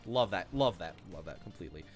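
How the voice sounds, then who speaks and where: A man's voice: low voice, animated, fast talker, soft background music. A man giving casual, unscripted, rambling commentary as the host of a gaming video. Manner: rambling, informal.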